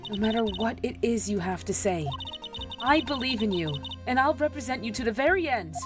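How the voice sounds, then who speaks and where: Woman acting an earnest, high-voiced young attorney. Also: animated, fairly quick, over music, lightly acted. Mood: earnest, pleading. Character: earnest young female defense attorney, indignant and loyal. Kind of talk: amateur video game voice-over.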